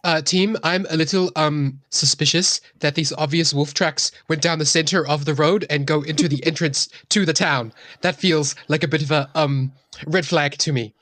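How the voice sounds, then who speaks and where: A man's voice: on the high side, fairly even intonation, moderate pace, fairly bright tone, no echo, close to an everyday voice. A man playing a wry, jittery reindeer centaur cleric in a tabletop game, talking hesitantly with dry suspicion. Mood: wary. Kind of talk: improvised tabletop role-play.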